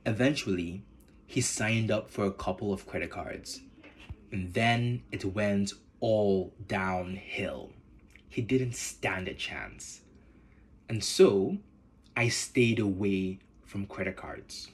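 A man from Nigeria with a Nigerian accent, hosting a podcast in measured, earnest scripted narration. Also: fairly low pitch. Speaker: podcast host.

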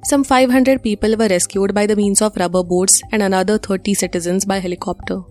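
A woman's voice: medium pitch, steady tone, brisk, a little mellow. Indian-accented woman reading news over music. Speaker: news presenter.

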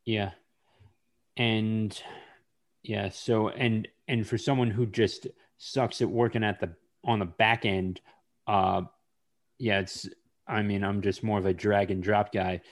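Podcast host guy, lowish voice, lots of uhs, kinda halting. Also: level tone. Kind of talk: casual interview.

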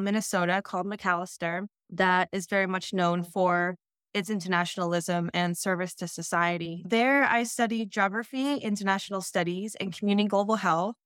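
A woman originally from the Netherlands, in her thirties, answering interview questions in a measured, explanatory way. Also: medium pitch, a bit bright, clear, resonant tone, no room sound, clean studio sound. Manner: measured, explanatory, occasional restarts. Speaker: school district planning head.

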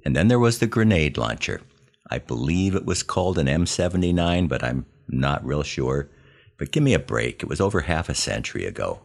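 An American man in his seventies narrating scripted first-person memoir stories in a wry, plain-spoken, measured way. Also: a bass voice, creaky. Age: 70s. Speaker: podcast host telling his army memories.

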